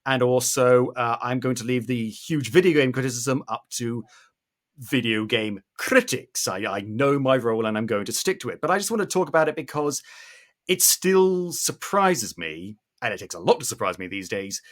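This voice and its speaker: A British man in his thirties giving animated, opinionated podcast commentary with theatrical emphasis. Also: medium pitch, normal speed. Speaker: podcast host.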